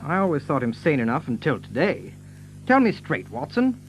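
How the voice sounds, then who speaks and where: An actor playing a hot-blooded, plain-spoken young baronet in an audio drama, blunt and hearty in manner. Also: male voice, on the high side, huge pitch swings, normal speed, highs cut off, soft background music. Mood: wounded, impassioned.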